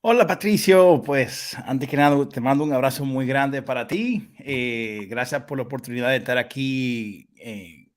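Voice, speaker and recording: A man with a Dominican Spanish accent hosting a casual live interview, talking at length in an effusive, halting way. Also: mid-range voice. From Dominican Republic. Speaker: interviewer, host.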